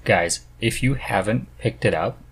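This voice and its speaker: Male podcast host, thirties, deep and slightly rough voice, informal conversational delivery. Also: unhurried. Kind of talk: casual chat about books.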